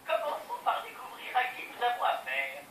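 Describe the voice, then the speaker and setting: A high nerdy turtle techie from a game, earnest, far off and noisy. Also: male voice, sing-song, moderate pace, a bit bright, exaggerated voice. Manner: precise, earnest, instructive. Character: brainy young male turtle, the team's tech expert. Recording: roomy, distant, noisy TV sound.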